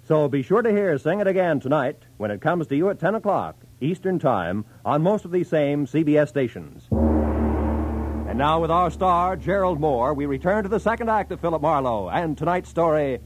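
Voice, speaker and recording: Male American 1940s radio announcer, slightly high, very animated promotional read. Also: moderate pace, background noise.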